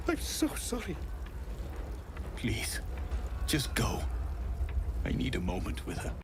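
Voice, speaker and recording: An actor playing a desperate, grieving husband, speaking in a strained, anguished way. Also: male voice, medium pitch, huge pitch swings, slow pace, noisy background.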